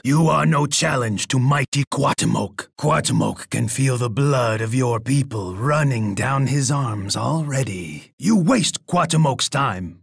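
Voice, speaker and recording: A bloodthirsty, imperious Aztec emperor from a strategy video game. His voice is heavily gravelled and rough, recorded dry and close. He delivers every line with pompous, theatrical menace, boasting grandly about himself. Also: mid-range voice, dry recording, exaggerated voice. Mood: threatening. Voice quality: gravelly, raspy. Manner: pompous, speaks of himself in third person.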